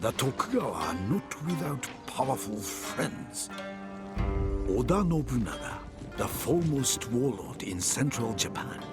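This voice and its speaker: A man narrating samurai wars for a video game cutscene as a grave chronicler, in measured, dramatic storytelling. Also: mid-range voice. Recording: music under the narration. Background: cinematic music.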